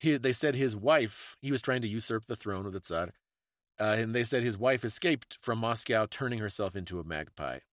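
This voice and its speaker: A man's voice: lowish voice, lively intonation, moderate pace, no room sound, studio quality. A man hosting a conversational talk, explaining things in an informative, scholarly way. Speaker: podcast host explaining folklore.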